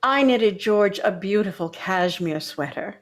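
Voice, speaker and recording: A woman's voice: mid-range voice, animated, a little slow, natural voice. An actress playing a condescending older mother-in-law, sugary and backhanded, in vintage radio sitcom style. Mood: patronizing.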